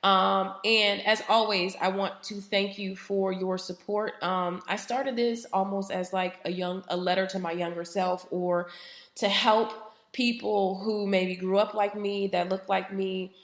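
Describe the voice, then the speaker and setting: A woman hosts her own podcast and talks reflectively, with a voice that is slightly raspy from a head cold. Her pitch sits in a middle range, and she speaks casually, pausing often on "um." The sound is roomy and echoey. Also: flat delivery, fairly bright tone. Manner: conversational, frequent "um" fillers. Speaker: podcast host. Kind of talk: reflective solo podcast talk.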